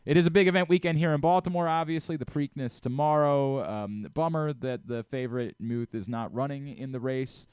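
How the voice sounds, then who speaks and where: A man hosting a casual sports talk radio show, telling stories in an animated way and acting out exchanges. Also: medium pitch, brisk, hardly any pauses, clear, resonant tone, no room sound, clean studio sound. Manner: animated storyteller who re-enacts exchanges. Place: radio studio.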